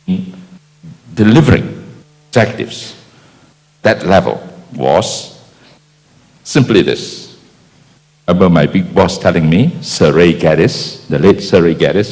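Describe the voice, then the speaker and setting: A man's voice: deep voice, a little mellow. An older man from Malaysia giving a rambling, anecdotal conference speech with many fillers and restarts. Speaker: keynote speaker. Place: large convention hall. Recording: long hall reverb.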